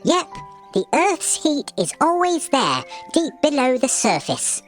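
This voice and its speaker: A man voicing an excitable little magical bug in a children's storybook, chirpy and full of explanations. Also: very high-pitched, takes it slowly, soft background music, voice pushed up, full character voice. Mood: chirpy, excited.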